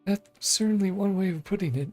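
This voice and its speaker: A man's voice: high-pitched, fairly quick, a little mellow. A man streaming a video game, casually commenting and reading dialogue aloud over game music.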